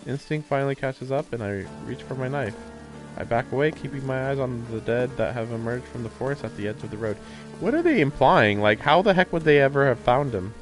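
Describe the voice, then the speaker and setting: A man's voice: medium pitch, a little fast, faint music behind. A man streaming a visual novel, reading the text aloud and mixing in casual commentary, with faint noise behind him.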